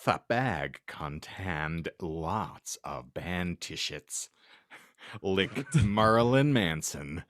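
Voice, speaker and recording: Male comedy podcast host, low lively voice, theatrical and unhurried, dry close sound. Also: no room sound. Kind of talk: comedic dramatic reading of fan fiction with banter.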